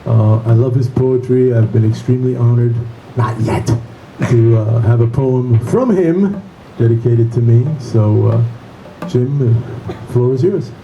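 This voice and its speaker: A man's voice: medium pitch, unhurried, warm tone, highs cut off, slight background noise. A man hosting an event, casually introducing the poet in a friendly, hesitant way.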